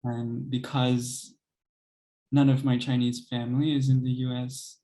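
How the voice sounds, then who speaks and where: A young man and composer, speaking thoughtfully in an interview, hesitant with many pauses and soft laughs. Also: mid-range voice, little pitch movement. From United States. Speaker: composer and pianist guest. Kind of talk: reflective interview conversation.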